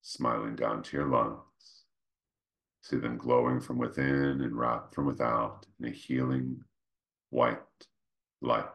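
A man leading a guided meditation, speaking gently and without hurry, in short phrases with pauses between them. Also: flat delivery. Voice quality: very deep, creaky. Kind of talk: guided meditation, slow soothing instruction.

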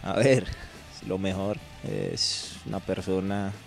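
A footballer speaking affectionately and haltingly about a teammate in front of a press backdrop. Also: male voice, deep voice, big pitch swings, gravelly, over music. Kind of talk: interview answers.

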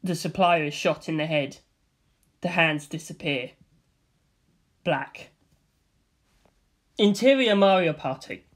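A man reads a comedy film script aloud, stage directions and all. His delivery is dry and deadpan. His voice sits a little high for a man. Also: slow, long pauses. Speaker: script reader.

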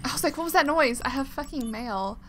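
A woman's voice: high voice, lively intonation, a little fast, a bit bright, slight background noise. A woman doing casual, slangy, reactive gameplay commentary as a let's player.

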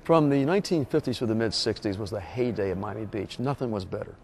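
A man guiding a walking tour and explaining local history in a measured, informative way. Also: lowish voice, a little mellow, a little hiss or hum. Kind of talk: scripted walking-tour narration. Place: outdoors on a beach boardwalk, later a hotel lobby.

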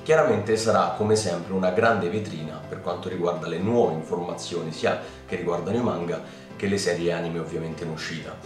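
A young man from Italy presenting anime news to his viewers in a chatty, excitable way, recorded at home on a camera mic. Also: low-pitched, brisk. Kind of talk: casual news vlog. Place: home room with keyboard and lamp. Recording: roomy, slightly distant mic with faint music.

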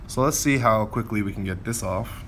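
A man with a fairly low voice talks through gameplay casually. He thinks aloud and explains things as he goes, over clearly audible background noise. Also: normal speed, fairly bright tone. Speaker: gameplay commentator. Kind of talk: casual game commentary.

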